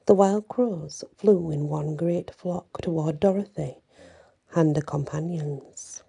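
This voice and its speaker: A woman reading a story aloud in an ASMR bedtime style, with halting, deliberate phrasing. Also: sits low, gravelly, dark tone, breathy edge.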